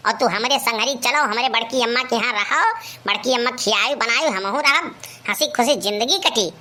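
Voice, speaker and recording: A woman's voice: on the high side, moderate pace, bright, forceful tone. A woman voicing a cheeky, carefree young village man with an Awadhi accent, protesting in a comedy cartoon. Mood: coaxing.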